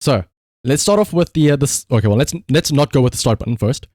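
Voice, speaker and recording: A man's voice: medium pitch, animated, quick pace, darkish tone, no echo, clean studio sound. A man doing casual live game-stream commentary, swearing freely and repeating short command words.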